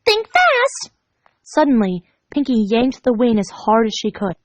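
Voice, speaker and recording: A woman's voice: a little high, normal speed, warm tone. A woman reading a story aloud as an amateur narrator, dramatic, now and then stumbling over the words. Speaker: fan fiction reader. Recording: dull, echoey home recording.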